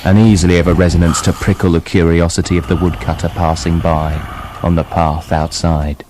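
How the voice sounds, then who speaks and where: An actor playing a breezy, scheming writer in a radio play, with a chatty, slyly reassuring manner and storybook turns. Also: male voice, low voice, flat delivery, fairly quick, natural voice. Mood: grand storytelling. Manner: chatty, falsely reassuring, sly. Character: breezy, scheming male writer who narrates the tale. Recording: clear background noise.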